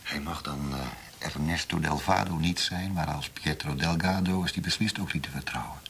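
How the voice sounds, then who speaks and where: An actor playing a gruff secret police torturer in a radio drama, defensive and conspiratorial, hesitant when challenged. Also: male voice, rumbling low, moderate pace, a bit bright, exaggerated voice. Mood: flustered. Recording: noisy radio play recording with clicks.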